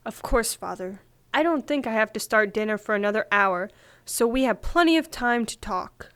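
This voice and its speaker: A gracious, devout lady, played by a woman, with a high voice in a dry recording. She speaks fondly, in the style of a religious audio drama. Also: expressive, normal speed, a bit bright, smooth, no room sound. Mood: pleased, welcoming. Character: gracious, devout woman, fond of remembering her friend.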